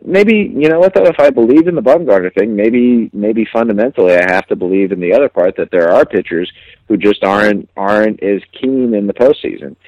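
A man with a lowish voice talks sports analysis in a casual way. He is reflective and halting, often repeating words as he works through a thought. The recording is dry and close. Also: lively intonation, darkish tone, no room sound, muffled recording. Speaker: sports journalist guest.

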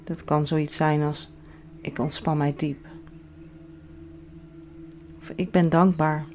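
A woman leading a guided relaxation as a yoga instructor, giving soothing, measured instructions with pauses. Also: sits low, steady tone, a little mellow, slight background noise. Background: background music.

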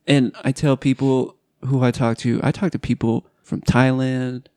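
A man hosting a casual interview, chatty and animated, with slangy asides. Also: medium pitch, unhurried, dry recording, studio quality. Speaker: host.

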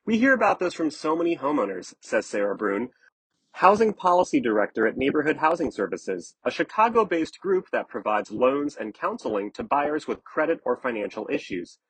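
A man's voice: mid-range voice, very animated, normal speed, breathy edge, no echo, low-quality recording. A man narrating a news article from a script as a reporter.

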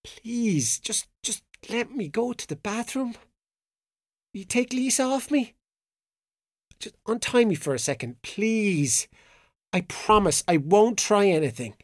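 A man's voice: high voice, expressive, no echo. Fearful male captive, about forty, guilty, stumbling speech, audio drama, dry sound. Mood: pleading. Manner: halting, pleading. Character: frightened, remorseful captive man around forty.

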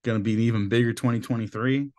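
A man hosting a podcast in casual chat, his voice a little raspy, rambling with lots of filler words. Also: medium pitch, steady tone, rapid-fire, darkish tone. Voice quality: slightly raspy voice. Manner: chatty, loose, with many filler "like"s. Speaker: podcast host.

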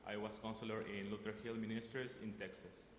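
A man introduces himself to a group. His voice is a bit low. The hall echoes strongly over a faint rumble. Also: little pitch movement, brisk. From Peru. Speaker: camp counselor. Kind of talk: spoken self-introduction to a group.

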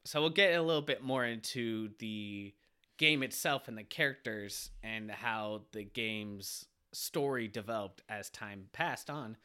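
A man with a bright, forceful voice hosts a relaxed podcast conversation. He sounds a little stuffy from a cold and keeps things moving with questions and easy laughter. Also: on the low side, unhurried, clean tone. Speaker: podcast host. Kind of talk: casual podcast chat.

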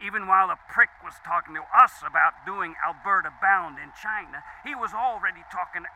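A slangy fast-talker type caller, high gravelly voice, teasing out his pitch, bit of noise, audio drama. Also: sharp tone, heavily acted, poor audio. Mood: breezy, sly. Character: breezy, slangy male caller with a pitch to make. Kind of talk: satirical audio drama acting.

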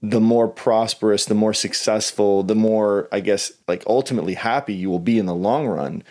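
A man's voice: fairly low pitch, normal speed, darkish tone. A man hosting a podcast, speaking reflectively with casual fillers. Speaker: podcast host. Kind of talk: conversational interview.

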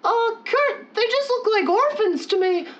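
Woman playing a very high, squeaky timid boy sidekick. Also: animated, unhurried, a bit bright, dull audio, heavily acted. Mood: nervous. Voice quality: squeaky, childlike. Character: squeaky timid young boy sidekick, earnest and easily alarmed. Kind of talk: old-time radio adventure parody acting.

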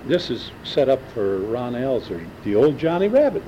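A man's voice: mid-range voice, very animated, moderate pace. A man in his seventies reminiscing in a casual oral-history interview, rambling and often stalling as he searches for names. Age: 70s. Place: indoors in a large banquet room. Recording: lo-fi tape with loud hiss.

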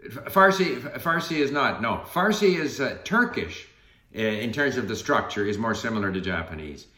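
A man's voice: medium pitch, expressive, normal speed, bright, forceful tone. An older man chatting casually and thoughtfully about learning languages, often restarting his sentences. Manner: rambling, thoughtful, many restarts. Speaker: language learner being interviewed. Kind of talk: casual conversation. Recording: echoey room.

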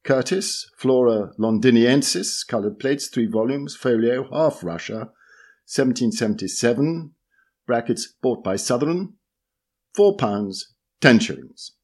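A man reading an essay aloud, measured and deliberate as he works through lists of book lots. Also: medium pitch, animated, a little mellow. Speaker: reader. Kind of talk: scripted narration of an essay.

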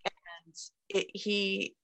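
A middle-aged woman from central New York, a guest on a video call, telling a family story in an earnest, measured way. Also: mid-range voice, flat delivery, creaky, a bit bright. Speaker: guest, author. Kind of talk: interview answers.

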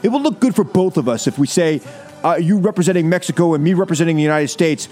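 A man playing a bombastic, boastful American president in a comedic reading, halting and repeating himself. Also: a little high, expressive, darkish tone, faint music behind, natural voice. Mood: conciliatory. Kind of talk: comedic dramatic reading over music.

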